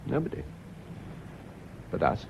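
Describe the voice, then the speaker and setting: An actor with a British accent playing a tormented, suspicious widower in a radio drama, stammering, troubled and insistent. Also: male voice, unhurried, close to an everyday voice. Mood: tense, guarded. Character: Gregory, tormented, suspicious widower in love with Elizabeth. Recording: dull lo-fi radio recording, faint noise.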